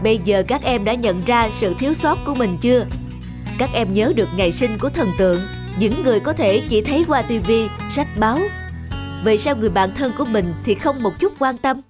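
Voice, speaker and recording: A woman voicing a caring schoolteacher who lectures gently and reproachfully in a cartoon. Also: a little high, animated, a little fast, natural voice. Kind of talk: Vietnamese cartoon dubbing, moral lecturing. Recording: music under the voice.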